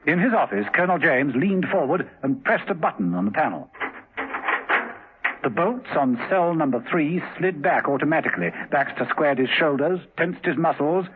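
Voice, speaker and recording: A man's voice: fairly high pitch, lively intonation, normal speed, noisy background, lightly acted. A man narrating a spy thriller dramatically in scripted radio drama, on a thin, phone-like old recording. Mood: dramatic, suspenseful.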